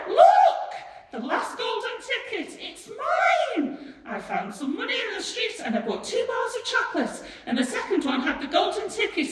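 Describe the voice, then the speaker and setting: A woman's voice: very high voice, pitched above the performer's own voice. Woman acting shrieking, overjoyed young boy, echoey hall. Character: excited young boy bursting with breathless joy. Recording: echoey hall, distant mic, faint noise.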